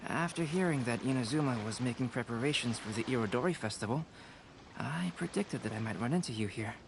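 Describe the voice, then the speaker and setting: A polite young scholar in a video game. He is formal and composed at a medium pitch, with clear ambient noise behind him. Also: expressive, fairly quick. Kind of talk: video game character dialogue.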